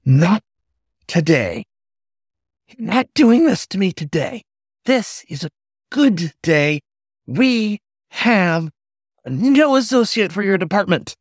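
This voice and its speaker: A shrill, fussy hare manager, squeaking high in a piercing kettle-whistle tone. He swings wildly up and down while he scolds, and the sound is close and dry, with no room around it. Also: sing-song, slow pace, pauses often, breathy edge, no echo, full character voice. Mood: forced cheer. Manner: scolding, exasperated outbursts.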